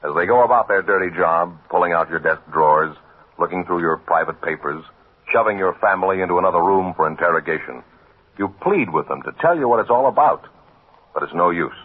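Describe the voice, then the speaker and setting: A man's voice: low-pitched, lively intonation, a little fast, phone-quality sound. An American man as a radio announcer, delivering solemn, dramatic 1950s scripted narration with emphasis. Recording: thin, band-limited old radio recording.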